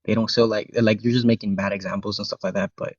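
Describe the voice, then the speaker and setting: Young guy, spanish is his first language, kinda low voice, blunt and rambly, dull lo-fi call sound. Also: flat delivery, fast, breathy edge, no echo. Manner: blunt, rambling, many restarts and fillers. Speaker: caller in a live-stream debate. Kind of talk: casual argumentative chat. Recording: dry, dull lo-fi call audio.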